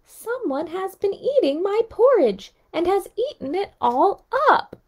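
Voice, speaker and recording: A woman voicing a tiny, upset young male bear cub in a storybook read-aloud, speaking high and plaintive. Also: big pitch swings, unhurried, heavily acted.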